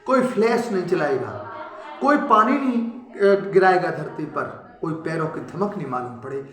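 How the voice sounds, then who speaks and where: High-voiced man teaching a storytelling lesson. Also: moderate pace, roomy sound. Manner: animated storytelling with frequent restarts and sudden emphatic rises. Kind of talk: Hindi lecture retelling a story for students.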